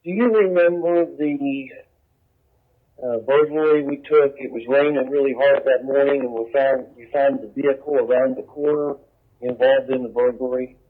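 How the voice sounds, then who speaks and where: Male police officer, mid-pitched, talking over a thin, phone-like radio channel. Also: fairly even intonation, normal speed. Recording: narrow, phone-like scanner radio.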